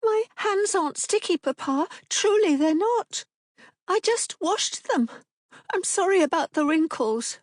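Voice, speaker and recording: A woman's voice: very high-pitched, expressive, measured pace, no echo, studio quality, voice pushed up. A woman voicing a timid, eager seven-year-old girl who speaks in a small, pleading way.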